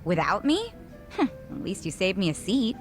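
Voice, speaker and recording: A woman's voice: mid-range voice, wildly swooping pitch, measured pace, soft background music. An actress playing a sassy, confident woman in a video game cutscene, teasing and breezy.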